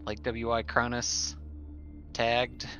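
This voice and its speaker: A man's voice: mid-range voice, monotone, slow pace, pushed and bright, soft background music. A man playing a tabletop roleplaying game, talking casually about what his character does.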